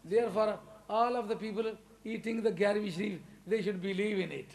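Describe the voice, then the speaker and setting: High-pitched Pakistani man preaching emphatically. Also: steady tone, fairly quick, crowd noise. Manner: emphatic, rising to loud stresses, chuckles between points. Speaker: religious preacher. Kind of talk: English sermon to a congregation.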